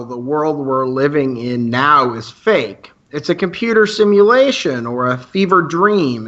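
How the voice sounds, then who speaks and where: A man acts as an earnest young male student hosting a campus radio show in an audio drama. His voice sits fairly high, with polite, mock-official announcing and dry asides. The recording is a little dull and lo-fi. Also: bright, forceful tone, full character voice. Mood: dry sarcasm. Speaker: radio co-host character. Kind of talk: radio play in campus news broadcast style.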